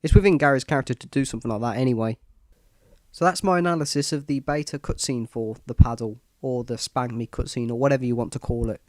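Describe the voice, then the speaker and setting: A man's voice: mid-range voice, fast, darkish tone, no room sound. A man with a cold and a stuffy nose, giving a scripted, matter-of-fact voice-over analysis of a video game.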